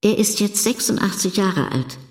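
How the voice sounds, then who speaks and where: Plain-spoken elderly wife, played by an actress. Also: female voice, mid-range voice, animated, normal speed, darkish tone, lightly acted. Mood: fond, amused. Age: older. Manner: plain-spoken, conversational storytelling. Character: elderly devoted wife, caring, worried, quietly amused. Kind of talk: documentary radio drama monologue.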